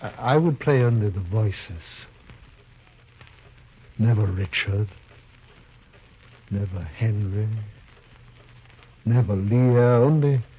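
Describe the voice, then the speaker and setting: An actor in a 1950s radio drama playing an ugly, desperate fugitive who speaks in florid, halting Shakespearean phrases. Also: male voice, on the low side, husky. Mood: bitter, sorrowful. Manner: florid, theatrical, halting speech. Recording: dull, noisy old radio recording.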